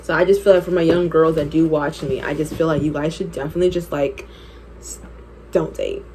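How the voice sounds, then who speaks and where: A woman's voice: deep voice, fairly even intonation, fairly quick, darkish tone, a little hiss or hum, poor audio. A woman in her twenties telling a personal story while doing her makeup, chatty and candid, laughing often. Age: 20s. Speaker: beauty vlogger.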